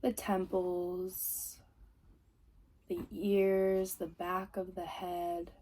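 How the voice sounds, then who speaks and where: A woman leading a guided meditation as a teacher, giving gentle, soothing, unhurried instructions. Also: on the low side, little pitch movement, low-quality recording. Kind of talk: guided body scan meditation.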